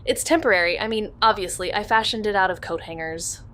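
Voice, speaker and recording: A woman's voice: mid-range voice, a little fast, fairly bright tone, lightly acted. An actress as a guarded young woman sleuth in a neo-noir podcast. She has a mid-range voice and speaks precisely, with dry sarcasm. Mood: flustered. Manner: dry, hesitant when personal. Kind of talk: scripted audio drama dialogue and narration.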